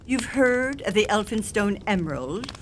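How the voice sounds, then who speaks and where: Haughty, animated aristocratic lady played by a woman. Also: mid-range voice, normal speed, a little hiss or hum, close to an everyday voice. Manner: grand, imperious, teasing. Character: haughty, sharp-tongued aristocratic lady plotting a prank. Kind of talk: audio theatre acting.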